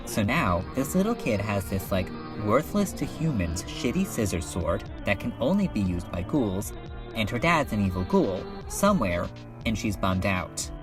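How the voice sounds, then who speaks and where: A man's voice: lowish voice, very animated, normal speed. A man voicing a slangy, enthusiastic geek who rambles about fanfiction in a webcomic fan dub. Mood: casual storytelling. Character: casual slangy geek excitedly telling and discussing fanfiction. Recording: music under the voice.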